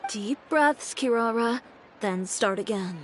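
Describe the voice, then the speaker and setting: A woman voicing a poised, composed fashion designer who speaks in a measured, cool manner, in a video game. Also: on the high side, a little hiss or hum. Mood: calm. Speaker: boutique owner character. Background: game music.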